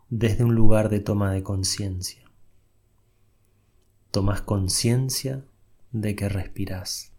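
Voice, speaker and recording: A man leading a guided meditation, giving soft, unhurried instructions with long pauses. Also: on the low side.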